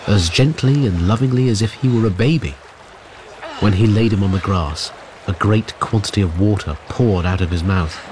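Man playing a low-voiced wry young gentleman. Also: moderate pace, background noise, natural voice. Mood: narrating. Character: wry, educated young gentleman narrator, nephew of squire. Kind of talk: period drama narration.

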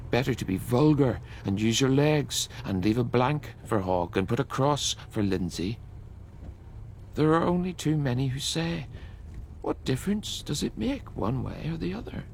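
A man giving a measured, declamatory reading of a long poem. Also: mid-range voice, gravelly, a little hiss or hum. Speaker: poetry reader. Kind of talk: dramatic poetry reading.

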